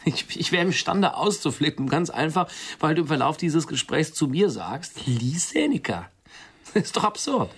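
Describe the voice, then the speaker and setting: A man's voice: a little high, sing-song, moderate pace, husky, exaggerated voice. An actor playing a touchy, nervous, sardonic middle-aged man in a German radio drama, wry and hesitant, often repeating himself. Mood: exasperated.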